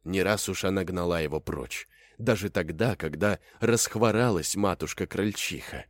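A man's voice: low-pitched, normal speed, dry recording, clean studio sound. A man narrating a fairy tale as a storyteller, in a folksy, theatrical way.

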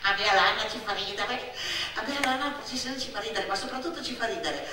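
A woman voicing a cheeky, excitable little village girl in a stage monologue, repetitive and irreverent, with a northern Italian accent. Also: on the high side, brisk, voice pushed down, close to an everyday voice. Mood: giggly, gleeful. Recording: echoey hall, distant mic, music behind.

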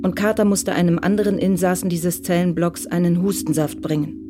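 Measured radio drama narrator over music. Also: medium pitch. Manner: measured, even storytelling. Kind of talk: scripted narration.

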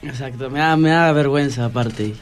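Teenage boy, mid-pitched young male voice, shy, casual, repetitive phrasing, faint music. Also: brisk, clear, resonant tone. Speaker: secondary school student guest. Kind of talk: casual chat.

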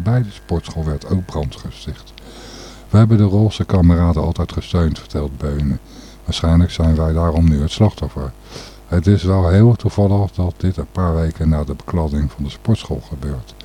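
A man's voice: deep voice, normal speed, dark tone, a little hiss or hum. A man hosting an informal radio show, commenting on the news in a hesitant, rambling, opinionated way. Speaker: radio and podcast host.

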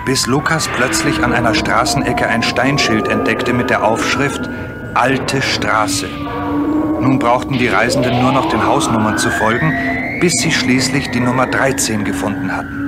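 A man reads a children's adventure story in a mid-range voice. His phrasing is measured and dramatic, lingering on key descriptions. Clear noise runs behind the voice. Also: medium pitch. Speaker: storyteller, narrator. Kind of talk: scripted children's story narration. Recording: clearly noisy old recording.

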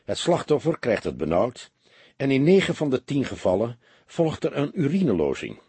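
A man's voice: mid-range voice, wildly swooping pitch, normal speed, dark tone, husky, no room sound, voice pushed up, heavily acted. A man voicing a weary police detective who talks in a deferential, understated and hesitant way, as in an audiobook. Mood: reluctant, downcast. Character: world-weary police detective, feigning meek, sheepish humility. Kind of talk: audiobook character voice.

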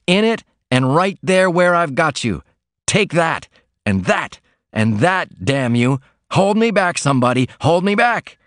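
A man's voice: a little high, animated, moderate pace, no room sound, studio quality, pitched above the performer's own voice. Man putting on a rowdy boozy laundry worker. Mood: raging. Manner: loud, rough, reckless drawl.

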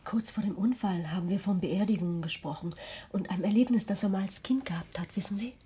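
An actress as a gentle, placating wife in a German radio crime play. Her medium-pitched voice is polite and soothing, with clear noise behind it. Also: quick pace, lightly acted. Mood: hushed, worried.

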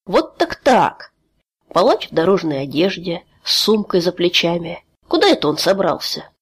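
A woman reading a fantasy audiobook aloud in a steady, expressive way. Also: fairly low pitch, normal speed, husky. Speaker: audiobook reader.

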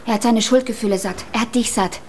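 Actress as a fast-talking, blunt young woman. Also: a little high, steady tone, a little hiss or hum, natural voice. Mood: cold, cutting. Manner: direct, insistent. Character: blunt, restless young woman, jealous and conflicted. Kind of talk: German radio drama acting.

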